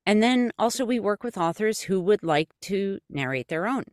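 A woman guest on a podcast explaining how her audiobook company works, steady and explanatory with many fillers. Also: mid-range voice, normal speed, dry recording, studio quality. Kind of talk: casual interview chat.

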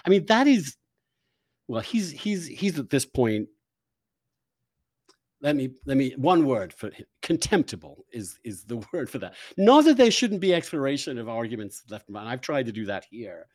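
A man hosting an interview, giving his opinions emphatically in halting phrases with repeated words. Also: medium pitch, sing-song, dry recording, studio quality. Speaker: interview host.

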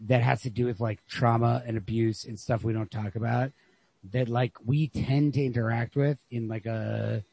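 A man's voice: medium pitch, fairly even intonation, normal speed, vocal fry, mellow, breathy edge. A man in a casual literary chat as a podcast host, musing and thinking aloud with frequent swearing. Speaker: podcast host discussing a short story. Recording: lo-fi podcast recording.

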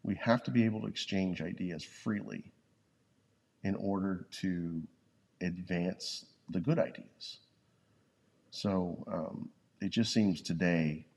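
A man co-hosting a podcast in casual conversation, with a very deep, gravelly voice, speaking haltingly and thoughtfully, lying on a yoga studio floor close to the mic. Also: fairly even intonation, darkish tone. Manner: halting, restarts often, thoughtful. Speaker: podcast co-host. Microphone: podcast mic, leaning in close.

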